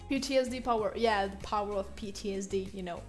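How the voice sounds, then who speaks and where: A woman's voice: medium pitch, fast. A woman with a French accent giving a casual, chatty livestream drawing tutorial with playful, self-mocking asides. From France. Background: music.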